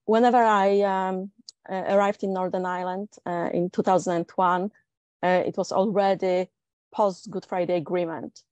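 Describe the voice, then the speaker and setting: A middle-aged woman from Poland with a Polish accent, speaking on a discussion panel in a measured, reflective way with many filler sounds. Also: on the low side, steady tone, a little mellow, no echo, muffled recording.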